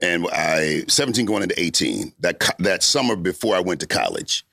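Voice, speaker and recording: A middle-aged man with a deep voice hosting a talk show, telling stories about himself with laughter and self-mockery. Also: very animated, a little fast, creaky, sharp tone, no room sound, studio quality. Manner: laughing, self-mocking storytelling. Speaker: show host. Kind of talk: casual chat.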